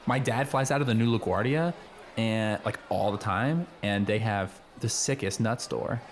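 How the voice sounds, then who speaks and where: Man playing rambling low-voiced teenage schoolboy, noisy background. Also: normal speed, close to an everyday voice. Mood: enthusiastic. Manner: rambling, hesitant, peppered with "like" and slang. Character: earnest, slangy teenage high school boy, eager know-it-all. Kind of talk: improvised comedy radio play, casual dialogue.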